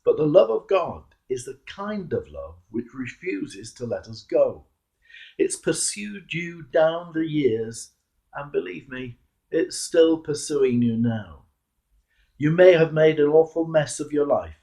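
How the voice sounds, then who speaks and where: A man reading a devotional sermon as a clergyman, measured and earnest, with emphatic pauses. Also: fairly high pitch, expressive, creaky, dark tone. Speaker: Anglican clergyman, preacher. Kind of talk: devotional sermon reflection, read aloud.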